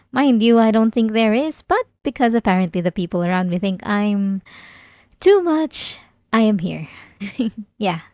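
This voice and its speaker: A woman's voice: mid-range voice, darkish tone, dry recording, clean studio sound, close to an everyday voice. A woman playing an obsessive, manipulative young therapy patient in an ASMR roleplay, speaking politely and hesitantly with nervous little laughs and defensive insistence. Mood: coy, defensive. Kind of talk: scripted ASMR roleplay acting.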